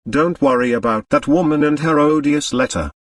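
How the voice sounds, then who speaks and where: A man's voice: a little high, moderate pace, no echo, clean studio sound, recorded from a distance, natural voice. A man voicing a big, middle-aged storybook doctor, breezy, blustering and emphatic in his indignation. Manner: energetic, emphatic, fuming.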